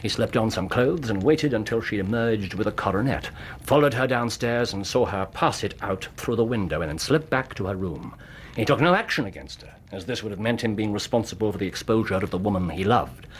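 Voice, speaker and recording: An English actor in a radio drama playing a brilliant, self-assured detective who lays out deductions in precise, theatrical storytelling. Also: male voice, on the low side, lively intonation, fairly quick, slight background noise, close to an everyday voice. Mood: explanatory, assured.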